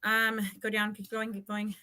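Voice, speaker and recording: A woman's voice: mid-range voice, completely flat delivery, moderate pace, sharp tone. A woman chatting casually as a guest on a livestream, explaining things informally. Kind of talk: casual chat.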